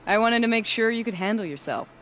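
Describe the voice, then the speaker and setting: A woman's voice: a little high, expressive, fast talker, fairly bright tone, soft background music. A woman playing a confident, proud hunter and mentor in a video game cutscene, assured and challenging. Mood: proud assurance.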